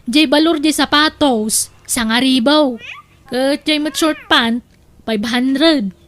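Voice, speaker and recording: A woman's voice: sits high, slow, a bit bright, a little hiss or hum. A woman with an Ilocano accent playing an inquisitive, gossipy but sympathetic cousin in an audio drama. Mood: gossipy surprise.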